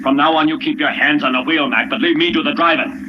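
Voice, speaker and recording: An actor playing a menacing, suspicious fugitive criminal in an old-time radio drama, curt and bossy with streetwise grammar. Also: male voice, fairly high pitch, fairly even intonation, quick pace, natural voice. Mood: commanding. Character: menacing male fugitive criminal holding a couple hostage. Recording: noisy, muffled old radio recording.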